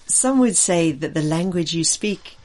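A woman who hosts the interview, reading a scripted introduction and then asking measured, articulate questions. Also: medium pitch. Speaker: interviewer and presenter.

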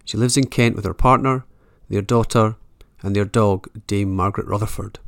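A man's voice: fairly low pitch, steady tone, normal speed, vocal fry. A man speaking as the interviewer on a books podcast.

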